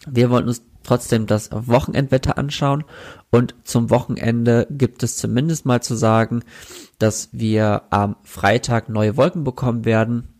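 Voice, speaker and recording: A man with a cold, his voice congested and sniffling, giving a relaxed, chatty weekend weather forecast. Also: medium pitch, normal speed. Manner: relaxed, chatty, with filler hesitations. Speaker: weather presenter. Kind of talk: weekly weather forecast, casual address.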